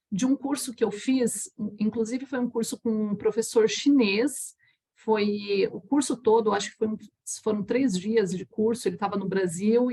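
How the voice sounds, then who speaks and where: Husky Brazilian woman, chatty live talk. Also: on the high side. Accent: Brazilian Portuguese. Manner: warm, chatty, frequent restarts and "tá?" check-ins. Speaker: energy healing instructor. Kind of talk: live online talk to an audience.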